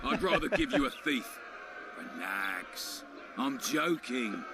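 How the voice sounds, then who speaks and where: An actor playing a crude, swaggering town commander in a video game, theatrical, sardonic and menacing. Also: male voice, mid-range voice, very animated, takes it slowly, background noise. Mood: sly menace.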